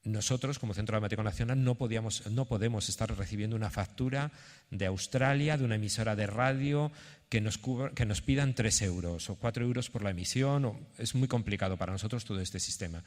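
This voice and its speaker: A man giving an informal conference presentation in a halting, explanatory way, as if thinking aloud. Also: fairly low pitch. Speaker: theatre institution representative presenting a project. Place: conference hall. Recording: echoey hall with long reverb.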